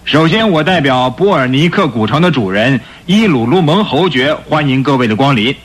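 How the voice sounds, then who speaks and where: An actor playing a formal auctioneer in a radio drama, making a measured announcement to distinguished guests. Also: male voice, medium pitch, animated, breathy edge. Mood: formal, ceremonious.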